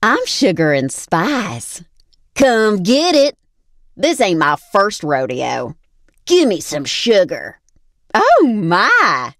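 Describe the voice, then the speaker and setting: A woman's voice: mid-range voice, huge pitch swings, very slow, fairly bright tone, no room sound, exaggerated voice. A sassy southern belle drawls in a syrupy, teasing way. Her voice leaps widely up and down and takes its time. The sound is dry and close. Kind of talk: game character voice lines.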